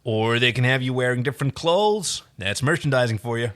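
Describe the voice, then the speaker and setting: Man reading as a cheeky, lively astronaut. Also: medium pitch, fairly quick, lightly acted. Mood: amused. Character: cheeky, self-assured human astronaut man.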